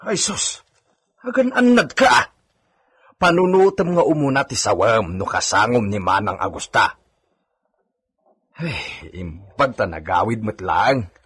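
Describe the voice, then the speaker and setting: Here is a cautious servant who scolds and warns. His husky voice jumps around in pitch, with an Ilocano accent and the full expression of radio drama. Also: sing-song, a little slow, long pauses, a bit bright, exaggerated voice. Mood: wary, admonishing. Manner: admonishing, wary.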